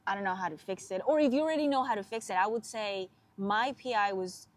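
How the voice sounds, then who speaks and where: A woman from Guatemala, a PhD student guest on a podcast, talking frankly and relaxed, with frequent "like". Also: medium pitch, fast, no echo. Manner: relaxed, frank, uses filler "like" often. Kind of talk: casual interview chat.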